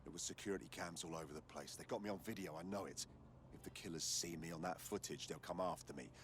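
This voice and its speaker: An actor playing a frightened street artist in a video game, talking in a nervous, pleading, colloquial way. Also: male voice, low voice, fast, a bit bright, slight background noise. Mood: anxious, pleading. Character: frightened male street artist who witnessed violence. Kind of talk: dramatic video game acting.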